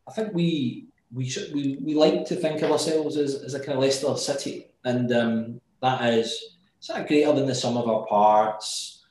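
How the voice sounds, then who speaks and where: A man's voice: mid-range voice, normal speed, a little mellow. Glaswegian man in a very echoey room. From Scotland. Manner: thoughtful, restarts words often. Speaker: guest, company executive. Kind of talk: remote interview.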